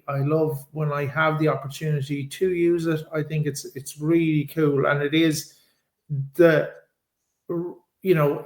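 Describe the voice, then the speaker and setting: An Irish man with a slightly raised pitch talks slowly and unhurriedly in a roomy, echoing space. He chats casually and self-deprecatingly, breaking off into laughs and restarting his sentences. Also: a little mellow. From Ireland. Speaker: podcast co-host. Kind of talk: casual podcast chat. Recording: echoey room.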